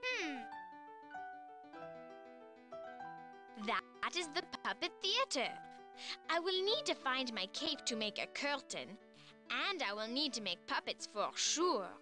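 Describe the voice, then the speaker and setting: A woman's voice: sits high, very animated, measured pace. An actress playing a small, polite and eager schoolgirl in a children's educational game, with a careful, sing-song manner. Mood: thoughtful, eager. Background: children's music.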